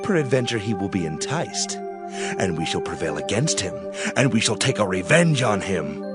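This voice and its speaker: A man's voice: fairly low pitch, very animated, moderate pace, over loud music. A man playing a scheming, vengeful persecutor, conspiratorial and menacing, in a dramatised scripture production.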